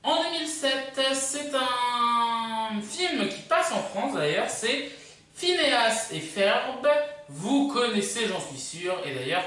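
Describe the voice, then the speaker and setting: High-voiced video host in a very echoey room. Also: lively intonation, pushed and bright. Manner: hesitant, halting, self-correcting. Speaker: video host presenting a countdown. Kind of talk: casual unscripted countdown presentation. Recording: very echoey room, far from mic.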